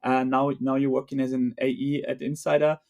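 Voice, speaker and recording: Halting podcast host, many fillers. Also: male voice, mid-range voice, little pitch movement, gravelly, a little mellow. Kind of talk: casual interview chat.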